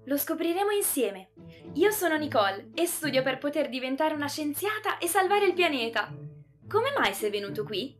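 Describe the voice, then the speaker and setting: A woman's voice: high-pitched, sing-song, normal speed, soft background music. A woman playing an earnest, hopeful, studious young girl in a children's cartoon, speaking emphatically. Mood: curious, hopeful.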